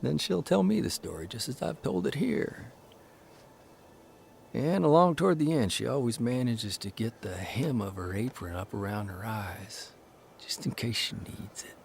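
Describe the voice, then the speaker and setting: A man's voice: mid-range voice, animated, normal speed, gravelly, darkish tone, slight background noise. An actor playing a grown man who tells, in a reflective, storytelling way, of his strict mother in a radio drama. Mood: wistful. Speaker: narrator and lead character.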